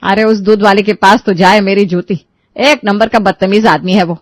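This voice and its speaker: A woman's voice: medium pitch, fairly even intonation, moderate pace, no room sound, lightly acted. Woman acting a scolding elderly Indian mother-in-law. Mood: bitter grumbling. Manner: scolding, emphatic, complaining. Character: irate, bossy, sharp-tongued elderly Indian mother-in-law. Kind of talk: animated moral storybook acting.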